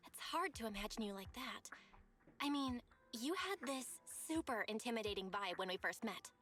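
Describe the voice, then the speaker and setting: A woman voicing a friendly, upbeat and expressive young woman in an anime-style video game, talking in an easily amazed way. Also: on the high side, normal speed, faint music behind. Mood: warm, amazed.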